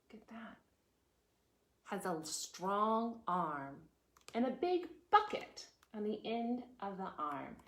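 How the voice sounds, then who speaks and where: A woman hosting a preschool storytime, reading aloud and chatting expressively, with lots of questions for her young listeners. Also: mid-range voice. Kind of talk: children's read-aloud with chatty explanations. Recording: echoey room with background noise.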